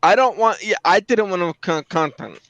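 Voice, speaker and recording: A man's voice: high voice, expressive, moderate pace, fairly bright tone, no room sound. A man co-commentating on a livestream, chatting casually over dull voice chat audio.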